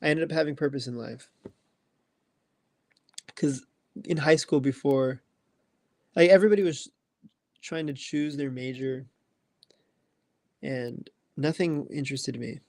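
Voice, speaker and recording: A man's voice: medium pitch, unhurried, pauses often, darkish tone, no echo. A man in his twenties chatting casually on a livestream, rambling loosely with lots of fillers. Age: 20s. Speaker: livestream host answering chat. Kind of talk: casual chat.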